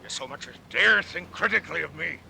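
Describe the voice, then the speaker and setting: An actor playing an obsessed, vengeful old whaling captain in a classic radio drama, speaking in grandiose, archaic oratory. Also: male voice, a little high, expressive, quick pace, creaky, sharp tone, full character voice. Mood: obsessed, menacing. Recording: faint noise, old radio play recording.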